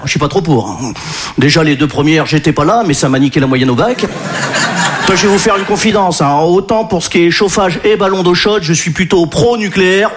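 Chatty male stand-up, fairly high voice. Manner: chatty, teasing, mock-worried, punchy tag words. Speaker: stand-up comedian. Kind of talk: topical stand-up monologue. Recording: noisy live stage recording.

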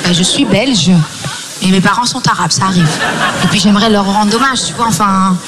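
A woman's voice: medium pitch, normal speed, loud music behind the voice. A woman from Belgium doing stand-up on stage, firing back sassy, laughing retorts. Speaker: stand-up comedian. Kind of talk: stand-up comedy banter on stage. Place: live show before a loud audience. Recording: live stage mic over a loud crowd.

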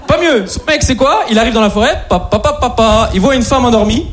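A man doing a stand-up routine, animated and talking straight to the audience, on stage at a live show. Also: extremely high pitch, fairly quick. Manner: animated, addresses audience directly. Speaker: stand-up comedian. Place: comedy stage before audience. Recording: live show with loud crowd and noise.

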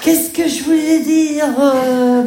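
A woman plays a naive, whiny young man calling his mother, rambling and stumbling over fillers in a high voice. The sound is echoey from a live stage, with audience noise behind. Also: steady tone, slow. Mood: chatty. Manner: rambling, hesitant, full of fillers. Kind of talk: stand-up character sketch.

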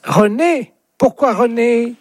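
Man putting on a fussy, high-pitched old lady. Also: takes it slowly, darkish tone, dry recording, full character voice. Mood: stubborn, confused. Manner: fussy, condescendingly sweet, mishearing. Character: hard-of-hearing old lady hair-salon customer, chatty, stubborn. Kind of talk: prank phone call on radio.

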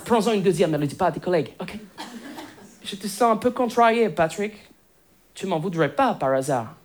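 A woman's voice: lowish voice, expressive, normal speed. A woman performing a comic monologue as a boss, addressing an employee in a mock-friendly, condescending way. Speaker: stand-up comedian. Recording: live audience crowd noise. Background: audience.

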